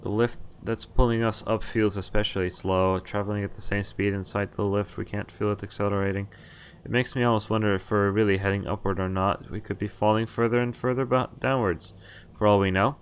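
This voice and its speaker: A man's voice: fairly low pitch, fairly even intonation, fairly quick, a little hiss or hum. A man streaming a game, reading its story aloud, who often stumbles and corrects himself. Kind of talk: reading a visual novel aloud. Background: game music.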